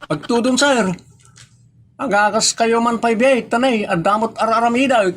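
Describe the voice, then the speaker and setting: Man acting a high-voiced bossy Ilocano comedy character. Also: moderate pace, a bit bright. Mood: bossy, coaxing.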